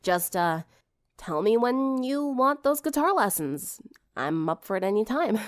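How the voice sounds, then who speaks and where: Woman as a casual, animated teenage girl. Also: a little high, unhurried, big-room sound. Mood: awkward, casual. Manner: casual, slightly awkward. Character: casual teenage girl, awkward, kind, secretly smitten. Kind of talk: audiobook character dialogue.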